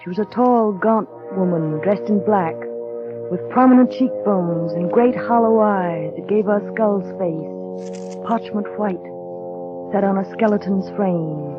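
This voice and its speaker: An actress playing a shy, gentle young bride in a 1930s radio drama, polite and wistful. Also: female voice, mid-range voice, a little slow, natural voice. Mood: wistful, narrating. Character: shy, gentle, nervous young bride narrating her story. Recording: dull, muffled vintage radio broadcast, music.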